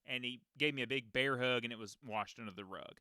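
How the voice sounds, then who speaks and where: A man in his thirties with a deep voice, a race announcer hosting a casual podcast and telling long stories with many fillers. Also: brisk, pushed and bright, dry recording, clean studio sound. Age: 30s. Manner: rambling storyteller, many fillers and restarts.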